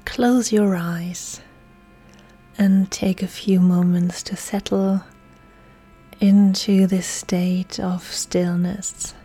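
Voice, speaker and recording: A woman yoga instructor leading a guided relaxation in a soft, breathy, soothing, hushed voice that often nears a whisper. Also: medium pitch, steady tone, very slow, poor audio.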